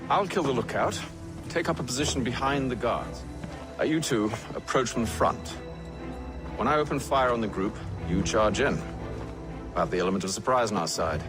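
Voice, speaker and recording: An actor playing a composed English gentleman in a video game, speaking formally and with measured authority. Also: mid-range voice, lively intonation, natural voice. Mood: calm, commanding. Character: composed, commanding English gentleman leading armed men. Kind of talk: video game cutscene acting. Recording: loud background music.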